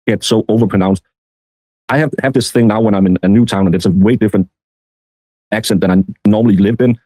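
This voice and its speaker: Male streamer, mellow dark tone, close dry sound, meandering casual commentary. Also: fairly low pitch, fairly quick, no room sound, low-quality recording. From Denmark.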